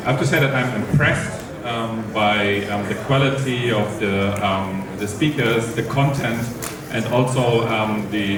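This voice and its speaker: A man from Germany in his forties, speaking on a conference panel into a handheld mic with many pauses and ums. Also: lowish voice, recorded from a distance. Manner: halting, many ums and restarts. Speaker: company co-founder, panel speaker. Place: conference stage in a large hall. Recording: echoey hall, mic heard from afar, noisy.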